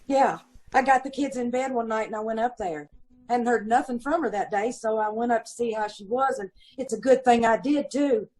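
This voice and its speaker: Woman voicing a landlady, middle-aged, mother of five, husky, highish, gossipy, self-pitying, radio drama. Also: normal speed, darkish tone, faint music behind, close to an everyday voice, low-quality recording. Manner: folksy ungrammatical talk, indignant and self-pitying. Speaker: witness in radio police drama. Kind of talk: old-time radio acting.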